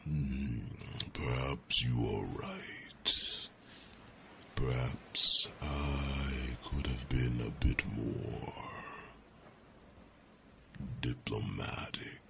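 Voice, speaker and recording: A man voicing a huge old dragon, a lazy, hungry and ponderous companion, in a growling ASMR fantasy roleplay, with long pauses and rumbling hums. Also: steady tone, sharp tone, slight background noise, full character voice. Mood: reflective, measured. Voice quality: very deep, gravelly growl. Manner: slow, drawn-out, pausing, rumbling hums.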